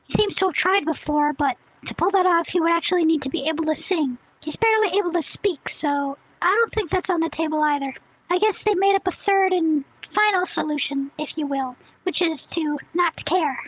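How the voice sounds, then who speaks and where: A woman voicing a smug, very high boy pundit. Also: dry recording. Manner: smug, snarky, self-assured. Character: smug young boy savant commentator. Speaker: commentary channel host. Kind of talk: scripted rant commentary. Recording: tinny phone-like sound, faint music.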